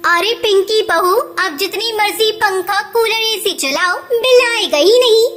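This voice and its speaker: A woman's voice: extremely high pitch, unhurried, fairly bright tone. A woman voicing a miserly, cunning, bossy mother-in-law bird in a cartoon, with a scolding, haggling, emphatic delivery. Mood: sly, firm. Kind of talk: Hindi cartoon voice acting.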